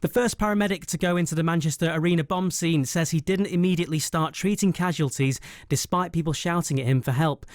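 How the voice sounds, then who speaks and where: A man's voice: on the high side, quick pace, hardly any pauses, no room sound, clean studio sound. A British man reading a scripted radio news bulletin as the presenter.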